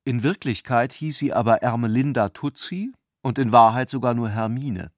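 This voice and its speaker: A man narrates a literary radio play at a middle pitch. His delivery is measured and articulate, recorded very close and dry with no room around it. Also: dry recording.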